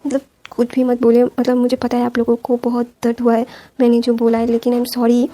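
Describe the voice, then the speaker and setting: A woman voicing a young male mafia boss who earnestly pleads to be heard, in a rapid, flat, mellow everyday voice. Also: on the high side, lightly acted. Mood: pleading.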